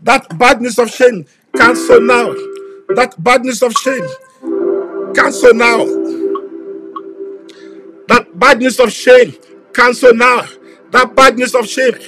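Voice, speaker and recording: Pastor guy praying hard, real high pitched voice, keeps repeating himself, speaks in tongues. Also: slow pace, long pauses, soft background music. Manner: fervent, repetitive declarations, bursts into speaking in tongues. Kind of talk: fervent prayer sermon.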